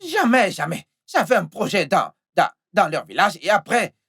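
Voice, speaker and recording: A man's voice: high-pitched, very animated, moderate pace, gravelly, fairly bright tone, husky, heavily acted. A man playing a volatile, scheming husband in a film melodrama, repeating himself and stammering through his words. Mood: defensive, exasperated. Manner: repetitive, stammering delivery. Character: volatile, scheming unfaithful husband with a short temper.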